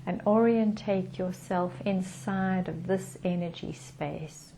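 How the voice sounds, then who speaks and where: Woman, meditation guide, calm and soothing, unhurried pace, soft dark tone, gentle pauses. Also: lowish voice, slight background noise.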